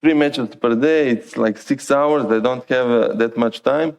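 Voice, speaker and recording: A man's voice: mid-range voice, measured pace, gravelly. A man with a Croatian accent giving an informal, hesitant talk to a conference. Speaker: conference lightning talk speaker. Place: conference stage, indoors.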